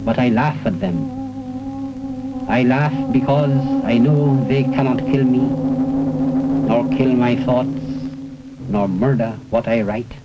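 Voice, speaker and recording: Slow, solemn man reciting verse over music. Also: mid-range voice. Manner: measured, solemn, declamatory, with deliberate pauses. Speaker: poetry reciter. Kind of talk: dramatic recitation of political verse. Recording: muffled old 1970s film soundtrack.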